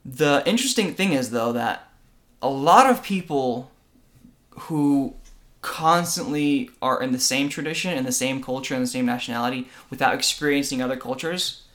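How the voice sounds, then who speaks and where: A man's voice: mid-range voice, moderate pace, fairly bright tone. A man co-hosting a podcast, explaining faith and church tradition in an earnest conversational way, often checking in with "right?". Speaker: podcast co-host.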